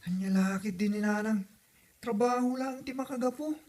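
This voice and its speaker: A woman's voice: fairly high pitch, normal speed, noisy background, lightly acted. A woman playing a dutiful young adult daughter in an audio comedy drama, speaking with an Ilocano Filipino accent. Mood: eager, defensive.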